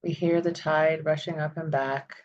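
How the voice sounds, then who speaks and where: A woman leading a guided visualization meditation, speaking in soothing, deliberate phrases with long pauses. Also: low voice, steady tone, lo-fi sound.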